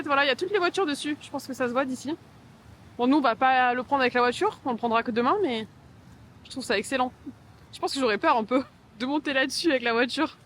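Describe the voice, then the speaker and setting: A woman's voice: sits high, fairly bright tone, a little hiss or hum. A young woman from France vlogging casually to camera, chatty and bubbly with many fillers, filmed on a handheld camera. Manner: chatty and bubbly, gushing "trop bon", many "euh". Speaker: travel vlogger. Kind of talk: casual travel vlog to camera. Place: outdoors and in a hotel room by a lake.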